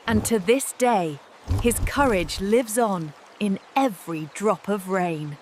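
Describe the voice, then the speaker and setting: A woman's voice: medium pitch, slow, a little hiss or hum. A woman narrating a fairy tale as scripted storytelling.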